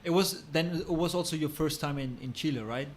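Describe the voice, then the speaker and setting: A man's voice: a little high, brisk, a little hiss or hum. A man hosting a podcast, introducing and interviewing a guest. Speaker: podcast host.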